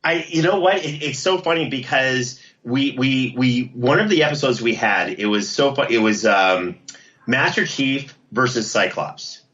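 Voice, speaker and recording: A man's voice: mid-range voice, measured pace, a bit bright. A man chatting casually as an interview guest, playful and joking as he talks things through, on a dull recording. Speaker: podcast producer and interview guest.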